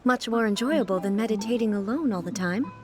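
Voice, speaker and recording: A woman's voice: fairly high pitch, lively intonation, a little fast, lightly acted. A woman voicing a regal, composed ruler in a video game, stately, formal and bluntly curious. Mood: wistful, curious. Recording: music behind the voice.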